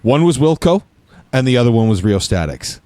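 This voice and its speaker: A man co-hosting a radio show jumps in with an eager, chatty anecdote. His mid-pitched voice sounds close and dry, with no room around it. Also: big pitch swings, a little fast, mellow, no echo. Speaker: radio co-host, former producer. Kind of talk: casual chat.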